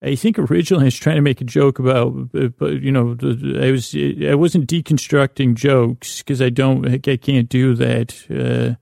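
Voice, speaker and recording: Very creaky, meandering man with a mellow voice. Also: medium pitch, fairly even intonation, no room sound, clean studio sound. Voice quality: slurred, mumbly delivery with stumbled words. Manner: meandering, halting, keeps losing his thread. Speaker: host of a bedtime podcast. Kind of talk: rambling, absurd comic monologue.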